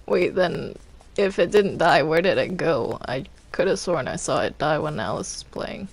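A woman improvising roleplay while playing a video game, speaking in a hesitant, halting way. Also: low voice, animated, creaky, husky. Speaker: Minecraft roleplay player.

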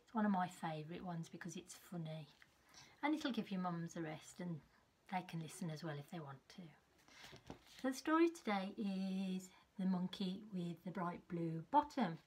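A woman in her fifties reads a children's story in a measured, expressive way, with a slightly husky voice. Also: fairly low pitch, a little hiss or hum. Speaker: teacher reading a storybook to young children. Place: small furnished room at home, indoors.

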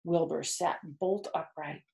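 A woman's voice: sits low, steady tone, a little slow, gravelly, mellow. A middle-aged woman reading a children's book aloud and explaining it simply to young listeners. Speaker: storytime host. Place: small furnished room at home, indoors. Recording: roomy, echoey home recording.

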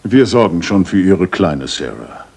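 An actor playing a decent, plain-spoken Victorian gentleman in a radio play, frank and questioning. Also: deep voice, very animated, a little slow, breathy edge, exaggerated voice. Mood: earnest.

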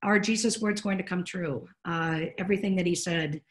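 A woman's voice: on the low side, normal speed. A middle-aged woman co-hosting a podcast about preaching, talking in an earnest, reflective way from home over a video call. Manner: earnest, reflective, gestures with hands while talking. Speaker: podcast co-host discussing preaching. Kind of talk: casual podcast discussion.

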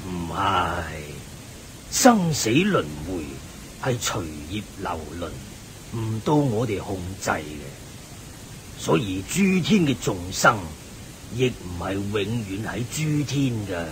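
Slow, low-voiced elderly sage. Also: male voice, big pitch swings, a bit bright, exaggerated voice, low-quality recording. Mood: calm teaching. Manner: measured, teaching. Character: wise elderly male lay sage, genial and shrewd. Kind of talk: radio drama acting. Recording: faint rumbling hiss.

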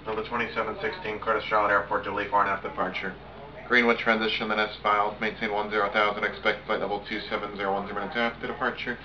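A young American man plays air traffic controller at home in clipped radio phraseology and casual muttered asides. His voice is on the low side and very quick. The recording is heavily noisy. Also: flat delivery. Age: young adult. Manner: casual, swears when thinking aloud. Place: small home room, indoors. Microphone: camera on desk a step away. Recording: muffled, noisy webcam audio.

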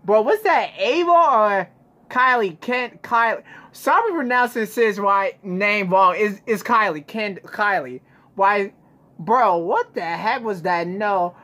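A man's voice: sits high, lively intonation, slow pace, bright, forceful tone. A young man chatting casually as a reaction video host, informal and exclamatory, recorded on a phone in a bedroom. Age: young adult. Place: small bedroom, indoors. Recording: noisy home recording.

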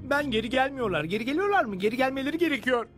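A man voicing a small, bewildered male dog in an animated comedy, blurting things out frantically. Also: very high voice, racing. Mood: astonished. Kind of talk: animated comedy dub acting. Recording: background music under the dialogue.

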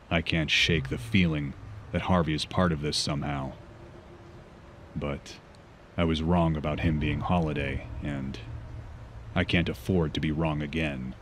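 A man's voice: rumbling low, fairly bright tone, a little hiss or hum. A man voicing a grave, guarded vigilante detective in a comic book fan dub, speaking solemnly and tersely. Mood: brooding, regretful.

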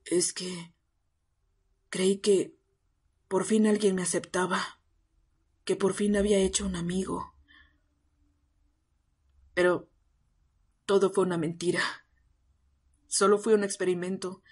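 A breathy, husky young male half-vampire as a performer acts him confides in the listener. He is miserable, tearful and lovesick, in an intimate ASMR roleplay with little swing in pitch. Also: flat delivery, slow, pauses often, darkish tone. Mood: hurt, sad. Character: miserable, self-pitying half-vampire young man in love.

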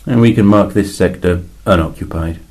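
A man playing a weary, deadpan alien envoy. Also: deep voice, moderate pace. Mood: dry. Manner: dry, exasperated explaining with sudden emphatic rises. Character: weary alien male envoy, dry and matter-of-fact. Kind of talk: two-voice reading of a science fiction story.

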